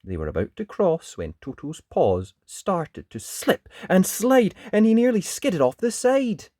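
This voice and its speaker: A storyteller reading a children's picture book aloud in an expressive, dramatic way. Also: medium pitch, unhurried, dry recording, studio quality. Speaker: storybook reader. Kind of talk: animated children's read-aloud narration.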